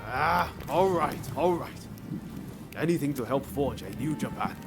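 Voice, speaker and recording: An earnest, idealistic samurai, mid-pitched. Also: male voice, animated, unhurried, vocal fry, over music. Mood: hesitant. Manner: earnest, informal, reflective. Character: earnest, idealistic male samurai eager for change. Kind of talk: naturalistic video game cutscene acting.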